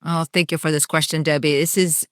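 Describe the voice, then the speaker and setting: A woman's voice: sits low, flat delivery, brisk, dry recording, studio quality. A middle-aged woman from Romania, a psychiatrist and coach who explains things earnestly in long, flowing runs as an interview guest. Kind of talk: conversational podcast interview.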